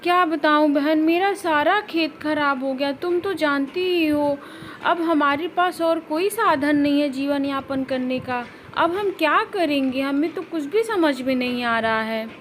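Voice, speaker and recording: A woman's voice: high-pitched, unhurried, soft background music. A woman voicing a worried female bird, a farmer's wife, with plaintive, lamenting delivery in a cartoon story. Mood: worried, helpless.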